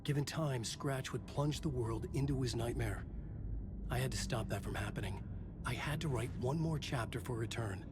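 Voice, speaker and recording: A man playing a tormented, brooding horror writer in a video game, narrating gravely and deliberately with dramatic intensity. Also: medium pitch, background noise, lightly acted. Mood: grave, urgent. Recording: loud music underneath. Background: music.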